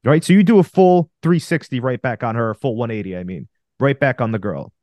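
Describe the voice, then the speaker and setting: A man's voice: medium pitch, animated, brisk, a little mellow, no echo. A man co-hosting a podcast, coaching male listeners on dating with emphatic, direct advice. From Russia. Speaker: podcast co-host.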